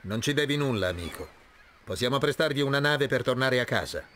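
Un attore che interpreta un eroe greco risoluto e autorevole, dal tono serio e deciso, in un filmato di videogioco. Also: male voice, medium pitch, animated, brisk, sharp tone, slight background noise. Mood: calm. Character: resolute, commanding male Greek hero. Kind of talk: video game cutscene acting. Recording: music under the voice.

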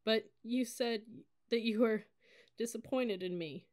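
A woman voicing a young female new cadet in an audiobook, stubborn, emotional and halting. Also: fairly low pitch, lively intonation. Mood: tearful. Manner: halting, stammering. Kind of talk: audiobook character voice.

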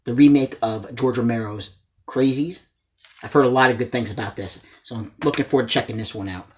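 Enthusiastic man chatting casually to camera. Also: medium pitch. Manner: enthusiastic, addresses viewers as guys. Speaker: host of a movie collecting channel. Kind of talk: casual chat showing a haul to camera. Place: small indoor room lined with disc shelves.

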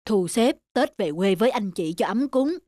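A woman playing a humble, generous older country relative in an animated family drama, homely and reassuring. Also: medium pitch, moderate pace, dry recording, studio quality, close to an everyday voice. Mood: tender.

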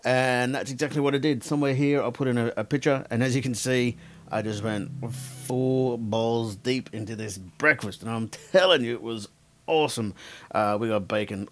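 Laid-back Australian man vlogging. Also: fairly low pitch. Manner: laid-back, rambling, laughs often. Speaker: cooking vlogger. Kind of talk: casual chat. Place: indoor room with green screen.

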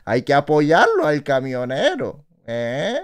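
A man, hosting a reaction video in casual, animated talk from a small indoor room on a studio mic, exclaiming, laughing and tagging his phrases with quick questions. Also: on the high side, clean tone. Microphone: studio mic, wearing headphones in gaming chair.

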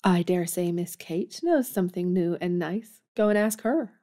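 A woman's voice: lowish voice, moderate pace, close to an everyday voice. A woman playing Jo, blunt and bold. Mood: forthright. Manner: blunt, lively, forthright. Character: tomboyish, bold young woman, Jo.

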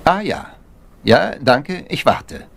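An actor playing a stiff, self-important upper-class gentleman politician, formal and clipped, in a German crime radio drama. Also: a little high, sing-song, takes it slowly, vocal fry, heavy background noise, exaggerated voice. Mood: distracted, stiff.

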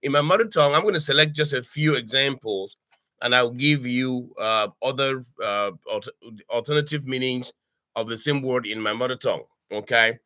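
An older man from Cameroon giving an informal online language lesson from his home study, speaking haltingly with many fillers and restarts and checking in with "okay?". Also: mid-range voice, bright, forceful tone, no room sound. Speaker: language tutor. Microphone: webcam on a video call.

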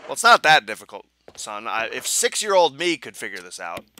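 A young man commenting on a video game as he plays, joking and mocking himself, with a hoarse voice that he says is going. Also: mid-range voice, brisk, slight background noise. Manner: joking, self-mocking, animated. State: hoarse, losing his voice. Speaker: gaming channel host. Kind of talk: casual let's play commentary.

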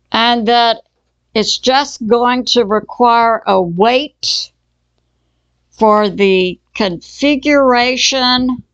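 A woman hosting her own channel, giving casual news commentary at a slow, halting pace with frequent fillers and restarts. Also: on the high side, fairly bright tone, no echo, dull audio. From Texas. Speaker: channel host.

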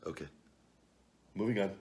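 A man in his forties chatting casually at home as a vlog host, reading viewer comments into a desk camera. He is blunt and profane, jumping from low mutters to loud outbursts. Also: normal speed. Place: home living room at night, seated at a table.

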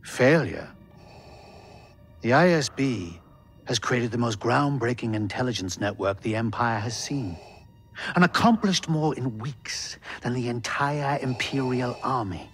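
A male actor playing a proud, ruthless middle-aged intelligence director in a video game, haughty and deliberate. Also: mid-range voice, lively intonation, husky, soft background music. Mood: indignant pride. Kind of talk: cinematic video game acting.

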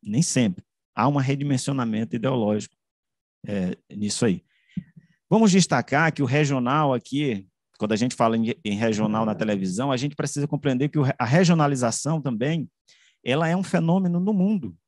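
A man with a Brazilian accent giving an explanatory lecture over a video call, haltingly rephrasing as he goes. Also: medium pitch, lively intonation, a little mellow, dry recording, clean studio sound. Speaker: academic presenter. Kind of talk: explanatory online lecture presentation with slides. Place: indoor room, online video call. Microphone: video call microphone.

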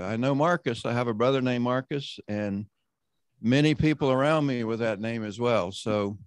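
Man, host, video call, measured, conversational, average pitch, dry close sound. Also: smooth, dry recording. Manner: measured, conversational, often slowing down. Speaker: host introducing panelists. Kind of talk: virtual roundtable introduction and prayer.

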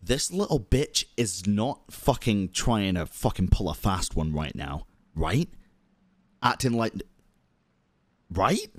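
A man's voice: fairly low pitch, very animated, slow, long pauses. A man in his thirties streaming a game at a studio mic at home, reacting with heated, profane, sarcastic commentary. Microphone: studio mic with headphones.

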